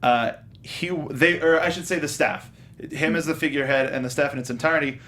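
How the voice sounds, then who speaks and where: A man with a fairly high voice hosts a casual film discussion panel. He talks haltingly, reworking his phrases as he goes. There is some low, rumbling noise behind him. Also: a bit bright. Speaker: host of a film review show.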